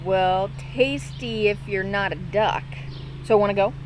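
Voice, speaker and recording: A woman's voice: mid-range voice, measured pace, background noise, natural voice. A woman voicing a chatty, cheerful small male bat who talks eagerly and bounces along in a storybook reading.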